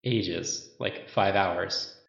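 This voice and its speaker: A man voicing a dry, gently teasing male military friend. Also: deep voice, drawn-out words, creaky, breathy edge, muffled recording, full character voice.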